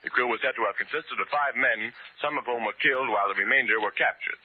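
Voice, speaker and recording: A man's voice: mid-range voice, fast talker. An American man anchoring a 1940s radio news bulletin, reading dispatches in a scripted announcer style. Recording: old narrow-band radio with hiss and clicks.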